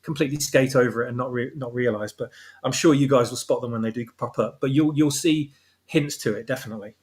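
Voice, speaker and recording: A man in a relaxed discussion, speaking as a guest writer. His voice sits at an ordinary middle pitch. He explains himself haltingly, doubling back over words as he goes. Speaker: guest author of fan fiction audio dramas. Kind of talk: casual discussion.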